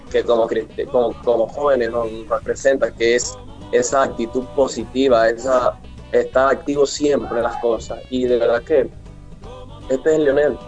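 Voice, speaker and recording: A Venezuelan man of twenty-one speaking earnestly, with preacher-like declarations, as an interview guest. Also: medium pitch. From Mérida, Venezuela. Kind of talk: radio interview, devotional testimony. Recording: dull lo-fi remote link, music behind. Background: music.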